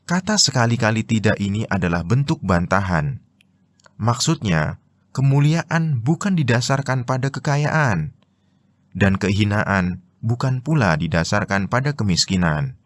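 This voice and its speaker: Male narrator, Indonesian accent, lowish pitch, formal scripted religious commentary, dry studio-like sound. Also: no room sound.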